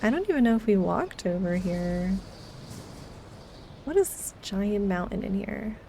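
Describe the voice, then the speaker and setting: Woman, game streamer, casual chat, medium pitch, commentary during play. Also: expressive, unhurried, creaky, warm tone, a little hiss or hum. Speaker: live streamer playing a video game.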